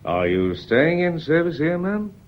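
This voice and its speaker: A man's voice: fairly high pitch, big pitch swings, unhurried. An actor playing a polite, persistent, unruffled man calling at a house, in a period radio mystery. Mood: courteous, sympathetic. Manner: courteous, dryly firm. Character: polite, persistent, unruffled male evening caller. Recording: noisy, dull old radio recording.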